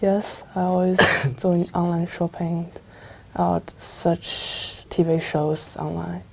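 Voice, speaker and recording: A woman's voice: fairly low pitch, level tone, slow, creaky, a little mellow, slight background noise, poor audio. A young woman from China giving short, hesitant answers as an exam candidate.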